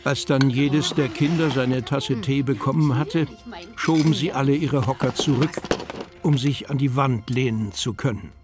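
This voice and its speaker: A man's voice: medium pitch, moderate pace. A man narrating a children's story from a script as part of an audio drama. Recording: music under the voice.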